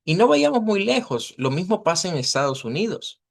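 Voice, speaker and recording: Latin American man teaching, deliberate and didactic. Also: high voice, big pitch swings, vocal fry. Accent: Latin American Spanish. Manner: didactic, deliberate, checks understanding with tag questions. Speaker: language teacher. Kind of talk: informal online language lesson, explaining pronunciation.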